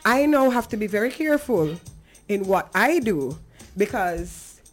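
A woman's voice: medium pitch, big pitch swings, measured pace, soft background music. A woman with a Jamaican accent talking earnestly and conversationally as a talk show host, emphatic and now and then slipping into patois.

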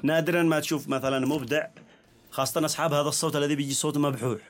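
Male interview host, Yemeni accent, very rapid delivery, slightly higher-than-average pitch. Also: fairly even intonation. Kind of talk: conversational arts interview.

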